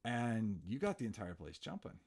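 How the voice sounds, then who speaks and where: A man with a low voice hosts a loose, friendly chat. He talks with easy warmth and lots of small asides, steering the guest with open questions. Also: sing-song, normal speed, vocal fry. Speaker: podcast host. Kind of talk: casual interview chat.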